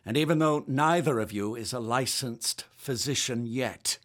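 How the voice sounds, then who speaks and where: An actor playing a tired, authoritative middle-aged chief doctor in a period radio drama. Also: male voice, medium pitch, moderate pace, a bit bright, close to an everyday voice. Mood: stern.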